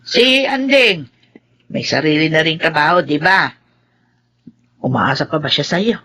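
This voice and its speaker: A woman acting in a radio drama as a concerned older Filipina aunt, giving frank advice in an emphatic, husky, expressive voice. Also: low-pitched, measured pace, heavily acted. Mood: concerned, advising. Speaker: drama actor.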